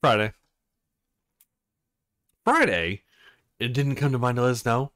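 A man acts out a bossy male fashion photographer from a visual novel. He is theatrical and easily exasperated, and his pitch leaps about as he commands the set. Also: sing-song, a little slow, fairly bright tone. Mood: dramatic frustration.

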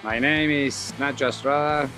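Man from Argentina, polo player, bright voice, halting speech, guitar music behind. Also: mid-range voice. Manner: relaxed, halting, searches for words. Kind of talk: interview soundbite.

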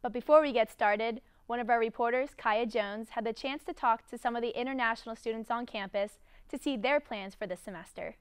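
A young woman hosting from a studio, reading a scripted introduction in a bright, clear presenting manner. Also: fairly high pitch, brisk, few pauses. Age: young adult. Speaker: studio host. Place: indoor studio.

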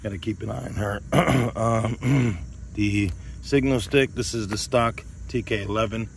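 A man's voice: lowish voice, a little slow, gravelly, slight background noise. A man hosting an outdoor radio range test, repeating test calls and calling to his dog in an open field, recorded on a body-worn camera. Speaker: radio hobbyist host.

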